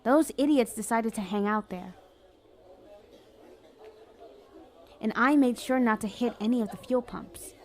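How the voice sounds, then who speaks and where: A woman's voice: fairly high pitch, moderate pace, a little mellow, natural voice. A woman playing a flirty, self-justifying villain in an ASMR roleplay, talking in a casual, playful, sardonic way. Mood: defensive. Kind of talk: acted ASMR roleplay monologue.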